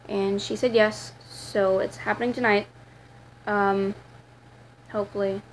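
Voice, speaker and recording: A teenage girl with a raspy voice, talking to her camera in a rambling, casual vlog full of fillers and restarts. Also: mid-range voice, little pitch movement, a little slow, a little hiss or hum, low-quality recording. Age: teens. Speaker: vlogger.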